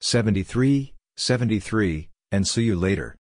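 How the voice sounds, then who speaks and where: A man reading out short scripted practice phrases with measured, deliberate enunciation, as a drill narrator. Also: on the low side, lively intonation, no echo, clean studio sound. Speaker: Morse code practice narrator.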